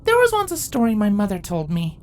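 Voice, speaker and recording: A woman's voice: medium pitch, wildly swooping pitch, brisk. A woman voicing regal changeling queens and a pony in a dramatic fantasy story, speaking formally and theatrically. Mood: earnest. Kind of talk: dramatic story character acting.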